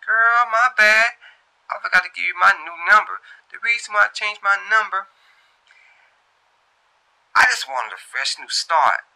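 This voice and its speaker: A man voicing a sassy, brisk young woman who works in fast food, talking in slang, in a storybook reading. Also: sits high, animated, bright, forceful tone, voice pushed up, exaggerated voice, low-quality recording. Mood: apologetic. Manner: slangy, informal.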